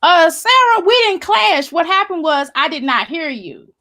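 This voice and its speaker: A woman on a live online panel with a high, sharply bright voice. She speaks emphatically and repeats herself to drive the point home. She is heard over a video-call mic. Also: big pitch swings, moderate pace, dry recording. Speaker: panel guest. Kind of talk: casual panel chat.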